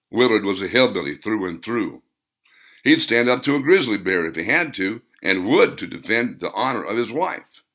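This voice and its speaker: Guy reading his own novel, lowish voice, forceful tone, measured pace. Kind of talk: audiobook narration.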